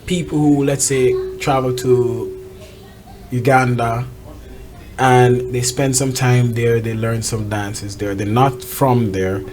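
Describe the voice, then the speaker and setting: A woman's voice: a bass voice, steady tone, slow pace. An outspoken woman talking frankly and emphatically in a casual, opinionated conversation. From Jamaica. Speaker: talk show host.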